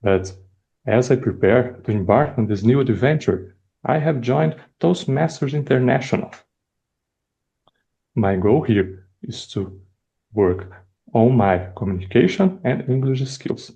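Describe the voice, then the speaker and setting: Young Brazilian man, non-native English, deliberate prepared speech; slow, gravelly, mellow. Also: fairly low pitch, muffled recording. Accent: Brazilian Portuguese. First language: Portuguese. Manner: measured, deliberate delivery with pauses. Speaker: first-time club speaker.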